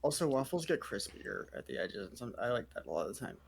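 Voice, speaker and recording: A man from the American South chats casually in a group Q&A. He jokes and teases the others with a brash, playful edge. His voice sits at an ordinary pitch. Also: huge pitch swings, fast talker, gravelly, husky. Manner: joking, teasing, playfully brash.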